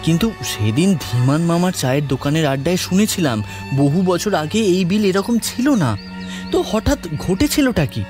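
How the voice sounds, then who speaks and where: Man acting a high-voiced anxious young grandson. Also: sing-song, over music. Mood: puzzled, insistent. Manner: halting, repeats words, urgent. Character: frightened, curious young village visitor, a grandson. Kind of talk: audio drama acting.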